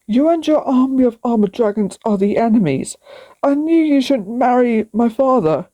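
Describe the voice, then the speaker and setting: A woman's voice: a little high, lively intonation, moderate pace, dark tone, lo-fi sound. A woman voicing a young dragon prince in a read-aloud storybook, brave but easily hurt and unsure of himself. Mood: accusing.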